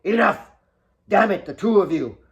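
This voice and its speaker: An ailing old father in an audiobook drama, acted out by a male narrator. He is stern and gruff, gasping through his exasperation, with his voice pushed up in pitch. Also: lively intonation, moderate pace, highs cut off. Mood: angry, exasperated. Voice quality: gasping, coughing delivery.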